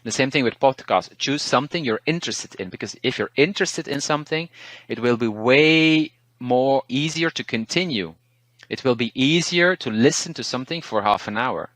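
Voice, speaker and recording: A man's voice: medium pitch, dry recording. Belgian man giving language tips. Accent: Belgian Dutch. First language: Dutch. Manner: relaxed, thoughtful, restarts words and pauses often. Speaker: language teacher, guest. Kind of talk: interview, casual advice.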